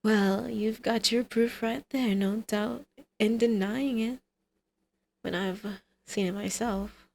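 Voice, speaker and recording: A woman's voice: a little high, steady tone, takes it slowly, vocal fry, darkish tone, full character voice. A woman playing a brazen, scheming supervillain mother in an ASMR roleplay, teasing, smug and coaxing. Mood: defiant, taunting.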